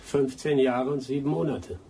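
An actor playing a bitter, vengeful adventurer in a German radio drama, brooding and accusing. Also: male voice, mid-range voice, moderate pace, gravelly, mellow, slight background noise, natural voice. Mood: cold suspicion.

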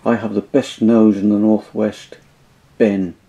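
A man doing a gruff low tracker. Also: flat delivery, unhurried, warm tone, dull audio. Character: gruff male tracker with his dog.

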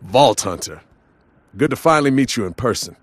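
Man acting soldierly game comrade, animated delivery. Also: mid-range voice, normal speed, lightly acted. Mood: approving, businesslike. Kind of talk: video game character acting. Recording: game mix with clear engine noise.

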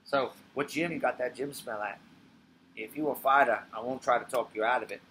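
A man's voice: mid-range voice, fairly quick, a bit bright, natural voice. Man acting old blind ex-boxer, streetwise, wistful, offhand, stage monologue, background noise. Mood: wistful, coaxing.

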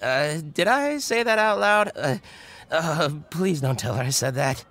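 A man's voice: on the high side, expressive, measured pace, fairly bright tone, slight background noise. An actor playing a tipsy, friendly young man who rambles through game dialogue with slurred, hesitant delivery. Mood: tipsy, flustered. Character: tipsy friendly male tavern regular, rambling and smitten. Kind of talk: video game character dialogue. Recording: background music under the dialogue.

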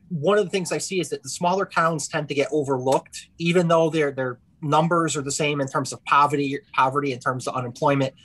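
A man in his forties giving brisk, earnest testimony over a video call, with frequent you knows. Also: on the high side, fairly bright tone, husky. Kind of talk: hearing testimony.

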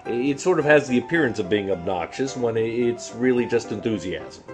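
Punning dad with a big baritone. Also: male voice, expressive, normal speed, over music. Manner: playful, punning dad jokes. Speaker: father and co-host. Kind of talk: casual family travel vlog.

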